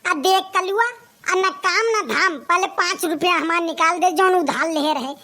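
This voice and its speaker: Casting: woman playing grumbling young male sidekick, comic cartoon, sharp and very high, Awadhi accent, always doubtful. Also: measured pace, exaggerated voice. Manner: doubtful, complaining.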